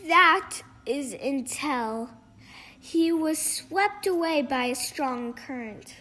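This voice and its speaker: Girlish high female voice reading a kids book out loud slowly, trips on words and starts again. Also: lively intonation. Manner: halting, stumbles over words and rereads phrases. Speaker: reader of a children's picture book. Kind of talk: storybook read-aloud.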